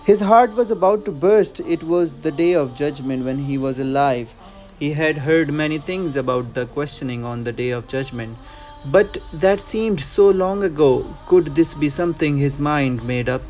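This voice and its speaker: A man tells a scripted story with earnest drama, stressing his words for effect. His voice sits a little high, and music plays behind him. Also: normal speed, a little mellow. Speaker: narrator.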